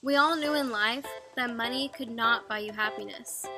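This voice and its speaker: A woman's voice: fairly high pitch, moderate pace. A woman in her twenties from Texas, reading an earnest, inspirational promo script. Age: 20s. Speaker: participant in a promo video. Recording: music bed behind the voice. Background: music.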